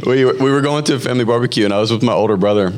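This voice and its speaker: A man in his thirties, raised in Hawaii, telling his personal testimony as a podcast guest in a halting, casual way full of fillers and restarts. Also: medium pitch. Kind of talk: personal testimony, conversational storytelling.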